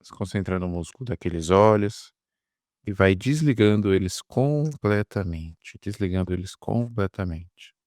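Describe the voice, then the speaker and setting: Low brazilian guy doing guided meditation, soothing repetitive phrases, close dry mic. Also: animated, a little slow, a little mellow, dry recording. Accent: Brazilian Portuguese. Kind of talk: guided meditation, hypnotic induction.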